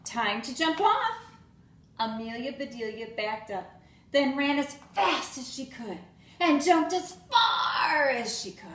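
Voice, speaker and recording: A woman reading a children's storybook aloud in an expressive way, addressing young students. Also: fairly high pitch. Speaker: school staff member reading aloud to students. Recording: roomy echo, clear background noise.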